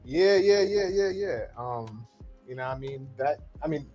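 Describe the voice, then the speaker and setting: A man's voice: fairly high pitch, expressive, normal speed, dark tone, lo-fi sound, soft background music. A man from Trinidad, co-hosting a casual podcast chat and talking bluntly with jokes and repeated words. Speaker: podcast co-host.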